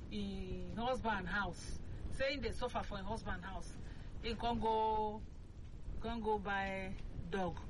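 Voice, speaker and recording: A Nigerian woman hosts a radio call-in show, talking casually and telling stories with plenty of rhetorical questions. A low, steady rumble runs underneath her voice. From southeastern Nigeria. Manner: lively, storytelling, rhetorical questions. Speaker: radio talk show host. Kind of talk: casual radio chat in Pidgin.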